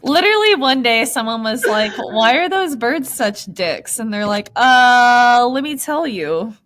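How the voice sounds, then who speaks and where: A woman's voice: a little high, animated, a little slow, a bit bright, a little hiss or hum. A woman co-hosting a podcast in casual comedic banter and story reading, irreverent and swearing freely. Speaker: podcast co-host.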